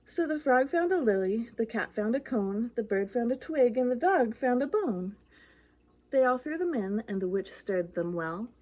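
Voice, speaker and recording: A woman's voice: a little high, big pitch swings, moderate pace, darkish tone, rough recording. A woman reading a children's story aloud expressively, with dramatic, rhythmic emphasis on the rhymes. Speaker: library storytime reader.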